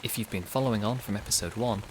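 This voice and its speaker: Man, low voice, soothing meditation host, gentle unhurried instruction, faint rain in background. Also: slight background noise. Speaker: podcast host, meditation guide. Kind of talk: guided sleep meditation.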